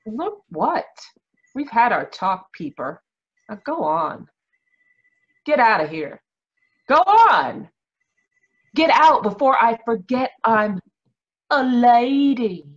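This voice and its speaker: A woman's voice: fairly high pitch, very animated, slow pace, long pauses. Woman playing a tough, snappish radio dame, dull sound. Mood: furious. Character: tough, snappish lady schemer with a short temper. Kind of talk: old-time radio detective drama acting.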